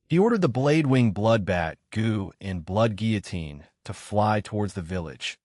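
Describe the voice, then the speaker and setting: A man narrating a fantasy novel in a steady, dramatic manner. His voice sits low and is recorded dry and close. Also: dry recording. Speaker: audiobook narrator.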